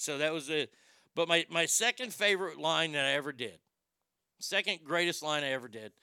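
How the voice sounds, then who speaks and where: A middle-aged man hosting a radio show, telling crude, brash stories in a high tenor voice. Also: vocal fry, clean tone, no echo, studio quality. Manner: crude, brash, animated storytelling with profanity. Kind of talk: casual storytelling monologue.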